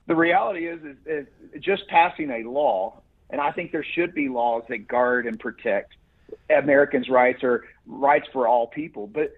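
A man's voice: mid-range voice, moderate pace, breathy edge. A man who is a guest on the program, answering in a preacherly way with frequent restarts. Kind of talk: earnest interview answers. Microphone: phone. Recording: muffled phone line.

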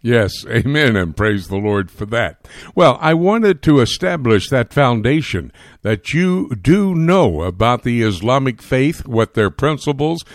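An American man hosting a religious current-affairs radio interview, speaking in a formal, emphatic and deliberate way. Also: medium pitch, no echo, clean studio sound. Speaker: radio show host.

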